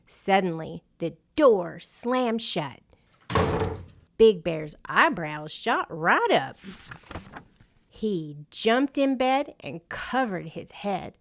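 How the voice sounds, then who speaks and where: A woman narrating a children's picture book in an expressive, deliberate storyteller's manner. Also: mid-range voice, slight background noise. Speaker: storyteller and channel host.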